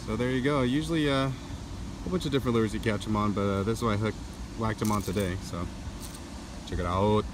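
Man, casual angler vlogger, halting off-the-cuff talk, distant from the mic, heavy outdoor noise. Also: on the low side. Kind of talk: casual handheld fishing vlog. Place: outdoors at night on a waterside concrete edge. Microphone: handheld camera or phone, voice off camera.

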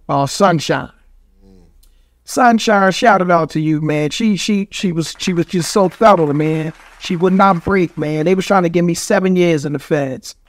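A middle-aged man with a New York accent speaks in a high-pitched voice. He tells stories emphatically, peppered with slang, swearing and little check-in tags. The sound is dry and close, as in a padded podcast studio. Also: dry recording. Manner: emphatic, street slang, heavy swearing, frequent "you know" tags. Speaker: podcast guest. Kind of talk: casual storytelling in a podcast interview. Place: small studio with foam-padded wall. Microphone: studio microphone on boom arm.

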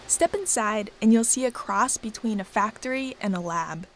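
A woman reporter narrating a scripted news feature in a measured, clear voice. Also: mid-range voice, a little hiss or hum. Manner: measured, clear voice-over delivery. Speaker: reporter and host. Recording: voice-over with background music.

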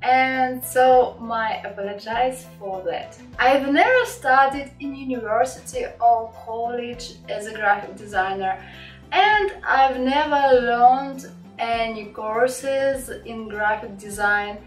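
Woman, Russian accent, young, high voice, slow pace, friendly tutorial teacher, roomy room. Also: far from the mic, faint music behind. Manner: friendly, unhurried, simple English with small slips. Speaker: graphic design teacher. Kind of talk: beginner software tutorial. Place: small home office.

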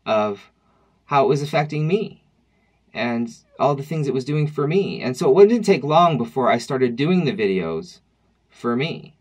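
A man in his thirties talking to camera at home in a personal vlog, reflective and wry, with loose conversational restarts. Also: mid-range voice. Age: 30s. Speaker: vlogger. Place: dim room at home, indoors.

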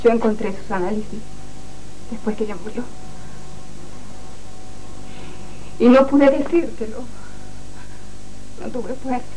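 An actress playing a wounded, sarcastic young wife in a telenovela, with deliberate, ironic delivery. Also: female voice, on the high side, warm tone, lightly acted. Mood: sorrowful. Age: young adult. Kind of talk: telenovela acting. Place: indoor TV studio set. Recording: noisy old TV archive tape.

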